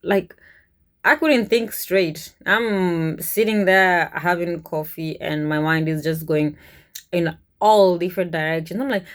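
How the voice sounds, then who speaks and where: A woman from Kenya with a Kenyan accent, telling a personal story to camera in a casual, animated way. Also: lowish voice, measured pace. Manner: animated, chuckles often, conversational. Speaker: vlogger, cruise ship worker.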